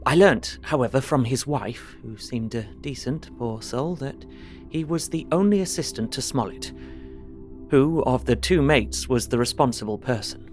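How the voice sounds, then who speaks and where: Victorian gentleman, played by a man, British accent, earnest, formal, precise, mid-range voice, audio drama journal, soft background music. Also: medium pitch, expressive, normal speed, close to an everyday voice. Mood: methodical, intent.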